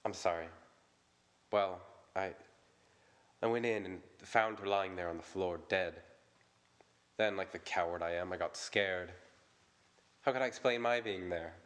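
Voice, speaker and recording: An actor playing a charming, flattering playboy in an audio drama who turns defensive and self-deprecating when questioned. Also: male voice, low voice, measured pace, long pauses. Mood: wistful. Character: charming, self-pitying young male playboy suitor. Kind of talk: vintage-style radio drama acting.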